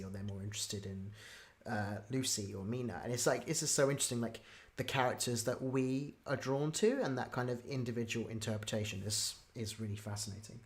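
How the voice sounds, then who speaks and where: A woman interviewer chatting casually and enthusiastically, restarting her thoughts as she goes. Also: extremely low pitch.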